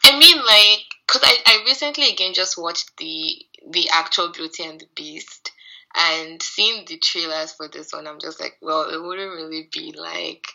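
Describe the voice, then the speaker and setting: A woman co-hosts a casual recap podcast. Her voice sits on the low side, husky yet strikingly bright and forward. She sounds a bit tired and sleepy as she chats. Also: a little slow, rough recording. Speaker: podcast co-host. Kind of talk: casual chat recapping TV show.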